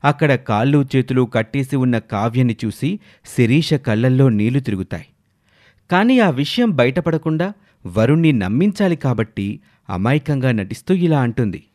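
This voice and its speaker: A man's voice: medium pitch, expressive, moderate pace, a little mellow. A man reading scripted story narration as a storyteller.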